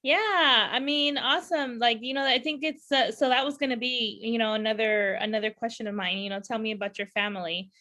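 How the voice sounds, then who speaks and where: A woman's voice: on the high side, a little fast, few pauses, sharp tone. A woman from California hosting a podcast interview, chatting casually with lots of fillers and frequent laughter. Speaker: podcast host.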